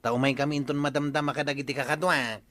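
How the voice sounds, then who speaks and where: A man's voice: medium pitch, steady tone, fast, bright, forceful tone, no echo, natural voice. A man playing a cheerful, nosy neighbor who asks curious questions in a comic radio drama. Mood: jovial.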